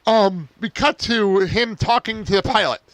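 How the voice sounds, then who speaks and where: A man's voice: high-pitched, a little slow, fairly bright tone, dry recording. A man co-hosting a podcast, casually recapping a cartoon episode in an informal, giggly, profane way. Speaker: podcast co-host.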